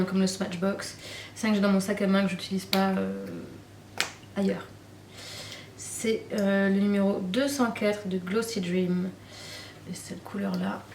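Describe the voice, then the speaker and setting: A woman's voice: medium pitch, distant mic, a little hiss or hum. Gushing French woman in her thirties. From Normandy, France. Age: 30s. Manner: gushing and repetitive, trails off with fillers. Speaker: beauty vlogger. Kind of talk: casual chat to camera. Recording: echoey room, off-mic.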